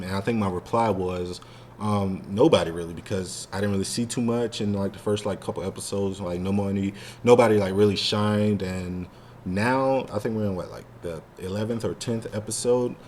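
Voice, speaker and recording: Man, lowish pitch, casual fan talk, rambling, slangy, faint noise behind. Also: steady tone, moderate pace, a little mellow. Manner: rambling, heavy use of "like" and slang. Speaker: podcast co-host.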